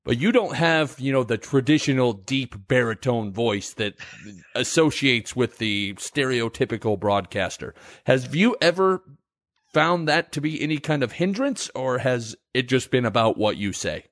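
A man's voice: medium pitch, animated, unhurried, a bit bright, no echo, clean studio sound. A man hosting a podcast, asking a guest long, wandering questions in a conversational way. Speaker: podcast host. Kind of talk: casual interview.

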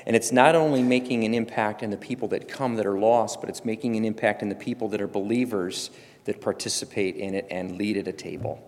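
A man, a pastor, introducing a guest speaker and interviewing him at a conference, speaking earnestly with pauses and fillers. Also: on the low side, steady tone, a little mellow. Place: large church auditorium. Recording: long hall reverb.

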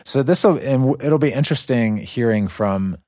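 A man's voice: mid-range voice, expressive, moderate pace, dark tone, no room sound, clean studio sound. A man co-hosting a casual podcast, talking eagerly and often restarting his sentences. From United States. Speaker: podcast co-host.

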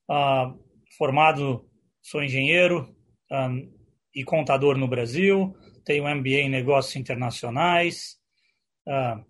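A Brazilian man presenting informally on a video call as a company executive, speaking in a hesitant way with a husky voice. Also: mid-range voice, a bit bright.

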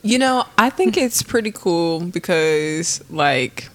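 A woman co-hosting a casual podcast, bantering and teasing in quick replies. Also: on the low side, lively intonation, a bit bright. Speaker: podcast co-host. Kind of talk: casual chat.

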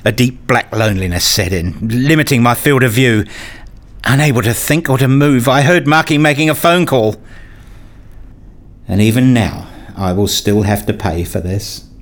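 A man acting as a wounded, self-justifying father in a radio play, speaking in an introspective, deliberate way. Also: mid-range voice, lightly acted. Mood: bitter, brooding. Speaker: narrator and lead actor in a radio play. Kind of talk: radio play narration and dialogue.

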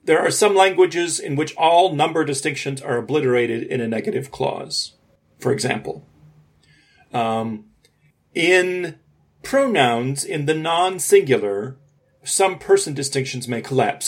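Husky-voiced man explaining informally. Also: mid-range voice. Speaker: podcast co-host.

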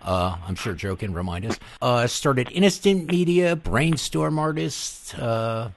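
A man's voice: medium pitch, expressive, unhurried, slight background noise. A man hosting a music podcast in a casual, informal and jokey way. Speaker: podcast host. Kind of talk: casual music interview and sponsor read.

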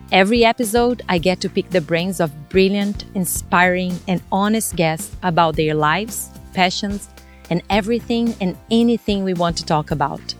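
A Brazilian woman in her forties hosts her podcast in accented English, speaking in a measured, earnest way. Soft music plays faintly underneath. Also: medium pitch. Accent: Brazilian Portuguese. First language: Portuguese. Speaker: podcast host. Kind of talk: scripted podcast intro and casual chat.

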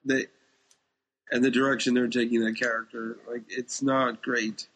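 A man's voice: mid-range voice, level tone, normal speed, a bit bright, husky, poor audio. Man, medium register, podcast co-host; informal chat, plainspoken and opinionated.

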